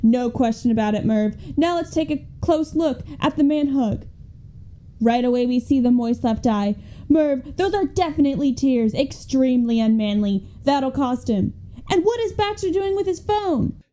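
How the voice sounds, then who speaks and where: A woman's voice: sits high, lively intonation, normal speed, dark tone. A young woman playing an earnest, gushing female sports co-commentator in a comic radio play, speaking dramatically. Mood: grave, dramatic. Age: young adult. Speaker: student actor in a radio play.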